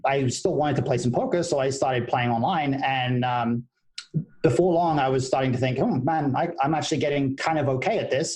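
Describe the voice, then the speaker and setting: A man's voice: mid-range voice, a little mellow. Easygoing British man in his thirties. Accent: British, partly Americanized. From United Kingdom. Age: 30s. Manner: easygoing and thoughtful, with many restarts. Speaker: guest, poker player and coach. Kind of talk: casual podcast interview.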